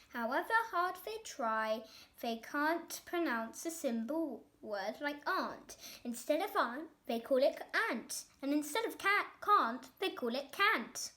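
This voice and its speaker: A child storyteller giving an expressive reading of a rhyming story. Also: high voice.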